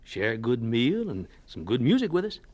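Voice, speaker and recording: A man's voice: mid-range voice, wildly swooping pitch, normal speed, background noise. An actor playing a genial, persuasive married townsman with a hesitant, folksy, coaxing manner, in a radio drama. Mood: friendly, coaxing.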